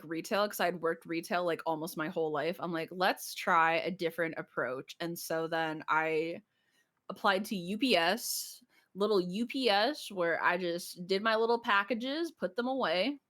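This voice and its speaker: A woman speaks in a somewhat low voice. She is a guest in an interview and talks in a casual, conversational style. Her delivery is that of informal chat. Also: moderate pace, fairly bright tone. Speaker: interview guest, community manager.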